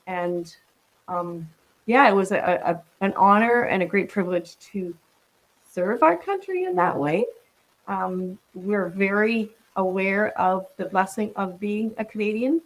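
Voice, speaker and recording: A woman in her sixties talks in a reflective, casual way. Her voice sits a little low. She pauses and restarts often. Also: poor audio. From Netherlands. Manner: warm, reflective, with frequent ums and restarts. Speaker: guest. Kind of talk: casual interview conversation.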